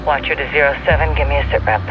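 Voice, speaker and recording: Shrewd intelligence officer: clipped, composed game briefing voice over loud score. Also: female voice, rumbling low, racing, highs cut off. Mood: focused, clipped. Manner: clipped, matter-of-fact briefing. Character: shrewd, composed female intelligence officer running covert military operations. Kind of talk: military game cutscene acting. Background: loud dramatic music.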